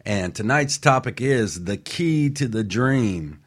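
A man's voice: medium pitch, animated, takes it slowly. A man hosting a radio talk show, introducing and talking with guests.

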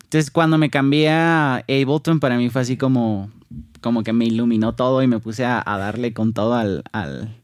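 Relaxed Mexican man, modest guest. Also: medium pitch, moderate pace, no room sound, clean studio sound. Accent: Mexican, northern. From Tijuana, Mexico. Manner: relaxed, modest, laughs often, many fillers. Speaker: guest, music producer. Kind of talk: casual interview.

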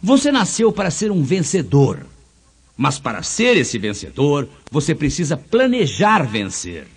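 Man, Brazilian accent, motivational speaker, lively swooping intonation, slightly rough husky voice, emphatic delivery. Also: fairly high pitch, a little slow. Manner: emphatic, rhetorical questioning. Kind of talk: motivational speech with anecdotes.

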